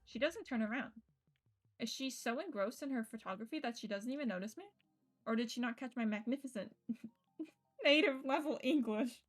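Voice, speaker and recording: High-voiced woman reading a visual novel aloud. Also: moderate pace. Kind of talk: live read-aloud narration of a game. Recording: music under the voice. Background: game music.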